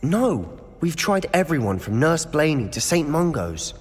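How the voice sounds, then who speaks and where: A man's voice: a little high, big pitch swings, a little slow. A man voicing a confident, sly teenage wizard in a video game, with a British accent and a wry, conspiratorial manner. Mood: guarded, earnest. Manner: conspiratorial, wry, self-assured. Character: confident, sly teenage schoolboy wizard, loyal rule-breaker.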